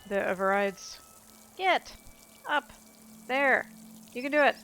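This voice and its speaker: A woman's voice: on the high side, slow, a bit bright, a little hiss or hum. A woman giving casual gameplay commentary as a let's play host, chatting and thinking aloud as she goes.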